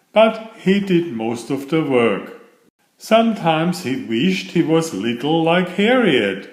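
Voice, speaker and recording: Male storyteller, slow pace, lively rise and fall, children's picture book narration, echoey room. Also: medium pitch. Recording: roomy echo.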